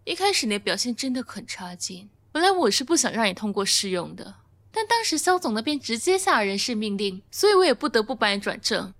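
A woman acting a composed, frank office manager in a radio drama, businesslike and measured. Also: a little high, big pitch swings, fairly bright tone, full character voice.

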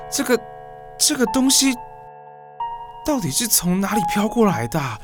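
A man's voice: sits high, fairly bright tone, full character voice. An actor with a Taiwanese Mandarin accent playing an exasperated young man who mutters and grumbles in colloquial speech in an audio drama. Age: young adult. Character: exasperated, puzzled young man living alone. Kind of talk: podcast audio drama, talking to himself.

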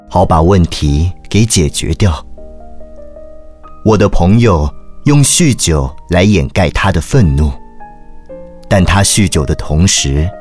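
An earnest, caring priest recounting his memoir. The voice is very low and unhurried, deliberate yet expressive, in a literary audio drama over faint music. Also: full character voice. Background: music behind the voice.

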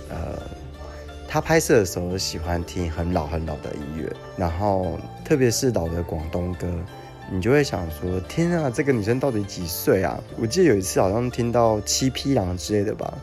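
A man's voice: on the low side, lively intonation, normal speed, a little mellow, soft background music. A man giving a casual spoken testimonial in a pre-recorded message. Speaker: photo model leaving a recorded message. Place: pre-recorded voice message played over music.

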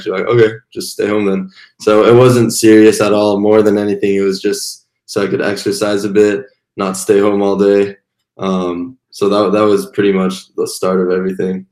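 A young man from Taiwan, a podcast guest, telling his story in a relaxed, casual way with fillers. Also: lowish voice, echoey room. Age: young adult. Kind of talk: casual interview answers.

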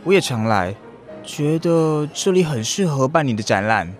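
A kindly, easily flustered young man, with a lively, swinging pitch. He speaks Taiwanese Mandarin a little hesitantly, with soft music underneath. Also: moderate pace. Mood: friendly. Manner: hesitant, stammers when flustered. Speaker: voice actor in an audio drama. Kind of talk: Mandarin radio drama acting.

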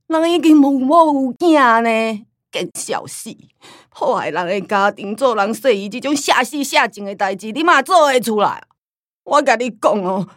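Scolding, forgetful old Taiwanese granny. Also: female voice, high-pitched, no echo, clean studio sound. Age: older. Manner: loud, rambling, scolding. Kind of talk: radio drama acting in Taiwanese Hokkien.

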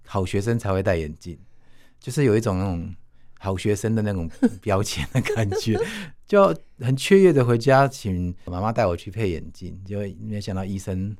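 Low-voiced Taiwanese man in his forties, conversational. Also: moderate pace, darkish tone. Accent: Taiwanese Mandarin. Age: 40s. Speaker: interview guest, teacher. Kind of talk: casual conversational recollection.

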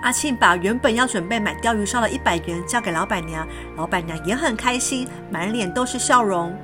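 A woman reading a children's story as narrator in an expressive, scripted storybook style. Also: mid-range voice, a little fast. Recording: music behind the voice.

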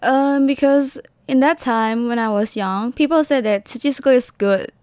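A young woman from Indonesia, answering interview questions in a hesitant, halting way. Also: high voice, darkish tone, dry recording, studio quality. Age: young adult. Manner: hesitant, halting with many ums. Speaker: university student guest.

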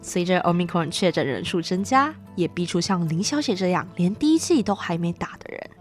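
A woman's voice: lowish voice, very animated, fairly quick, soft background music. A young adult woman with a Taiwanese Mandarin accent reading a scripted news report as a student reporter.

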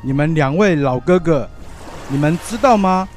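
A man's voice: fairly high pitch, expressive, normal speed, soft background music, natural voice. An actor playing an awed, fervent male fisherman disciple in a biblical radio drama, speaking in earnest exclamations. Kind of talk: Chinese-language biblical radio drama acting.

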